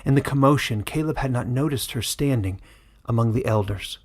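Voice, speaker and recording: A man's voice: medium pitch, a little mellow, breathy edge. Man narrating a dramatic fantasy tale. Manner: measured, literary storytelling. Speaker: author and narrator. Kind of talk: dramatic scripted story narration.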